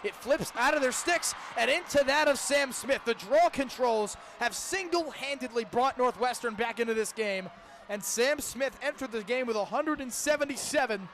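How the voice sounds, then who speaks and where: Very high-pitched young male sports play-by-play announcer. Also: fairly bright tone. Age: young adult. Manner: detailed running description with dramatic phrasing. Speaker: play-by-play broadcaster. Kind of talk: live sports radio commentary. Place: outdoors at a lacrosse stadium. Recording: clear outdoor stadium noise behind.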